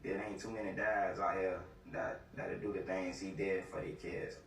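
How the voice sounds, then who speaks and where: A young man speaking with heartfelt, casual, halting warmth about his father. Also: low voice, little pitch movement, a little hiss or hum. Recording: echoey room from far off.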